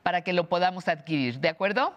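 A woman's voice: fairly low pitch, animated, quick pace, dull audio. A Mexican woman hosting a television interview, asking questions and thanking her guest with frequent affirming interjections. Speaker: host.

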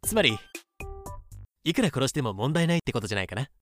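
A man playing a self-important, calmly confident young would-be god, explaining smugly in a fan-made comedic audio drama. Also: medium pitch, soft background music, close to an everyday voice. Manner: smug, measured explaining.

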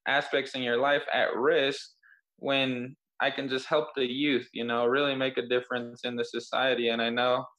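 A man's voice: mid-range voice, level tone, normal speed, fairly bright tone, low-quality recording. A young adult man hosting a podcast, talking earnestly in casual conversation with frequent hesitations.

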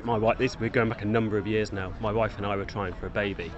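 A man in his fifties talks in a reflective, halting way, pausing to find his words. His voice is somewhat low. He is recorded outdoors with a crowd chattering around him. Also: fairly even intonation, dull audio. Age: 50s. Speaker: parkrun runner interviewee.

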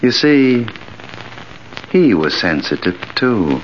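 An actor playing a bitter gray-haired ex-convict bent on revenge, sardonic and deliberate, in 1940s radio drama style. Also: male voice, mid-range voice, very animated. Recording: dull, hissy old radio recording.

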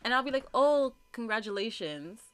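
Young woman, podcast co-host. Dry, deadpan delivery, yet bright in tone with big pitch swings when she acts out what others say. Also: normal speed, smooth. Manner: flat, dry delivery with lots of "like" and laughter. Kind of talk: casual chat.

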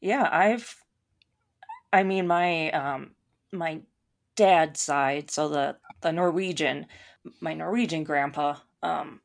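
Woman from Minnesota with a Minnesota accent, podcast guest. Lowish, gravelly voice, thoughtful and unhurried, lots of ums. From Minnesota, USA. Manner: thoughtful, with many ums and restarts. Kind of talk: casual conversation.

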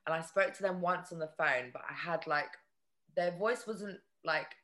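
A woman with a husky voice telling a dating story in casual chat, full of restarts. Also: normal speed. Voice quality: husky, lower voice. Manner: animated, hesitant restarts.

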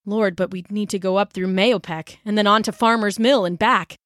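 Woman reading storybook father, an old soldier colonel: gruff, calm, in command. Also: medium pitch, brisk, dry recording, studio quality, close to an everyday voice.

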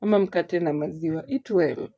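A Kenyan woman co-hosting a podcast in casual, lively chat, laughing often as she talks. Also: on the low side, normal speed, breathy edge, lo-fi sound. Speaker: podcast co-host. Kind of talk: casual chat, code-switching.

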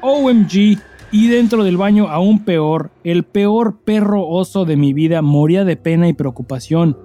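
A man's voice: sits high, unhurried, warm tone, soft background music. A man with a Mexican accent, a podcast co-host who speaks in a slangy, sweary style. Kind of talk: casual podcast chat; dramatized reading of a listener email over music.

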